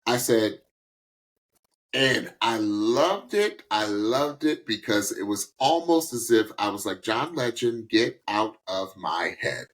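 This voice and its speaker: Animated man hosting a podcast. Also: on the low side, slow. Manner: animated, emphatic, informal, repeats words for emphasis. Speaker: podcast host. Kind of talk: casual reaction podcast talk, giving opinions.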